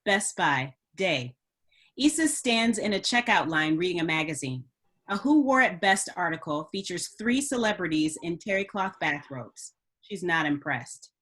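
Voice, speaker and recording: A woman's voice: on the low side, lively intonation, normal speed, fairly bright tone, breathy edge. A woman reading stage directions as scripted narration for a screenplay table read.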